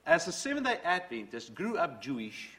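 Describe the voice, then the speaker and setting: Brief: middle-aged male preacher, Dutch mother tongue, earnest testimony, wide swooping pitch. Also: huge pitch swings, normal speed, bright, forceful tone. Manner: earnest, storytelling, quotes from books. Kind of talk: sermon with personal testimony.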